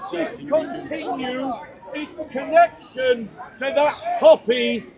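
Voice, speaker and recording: Slow, declaiming high-voiced man, outdoors. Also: darkish tone. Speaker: street speaker. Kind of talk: public soapbox speech. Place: outdoor park. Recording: crowd noise outdoors.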